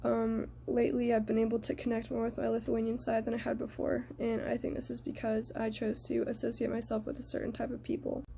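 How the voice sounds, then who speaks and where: Young adult woman, slightly high voice, mellow, measured personal reflection, faint background noise. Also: completely flat delivery, creaky. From United States. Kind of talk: prepared personal reflection, presented aloud.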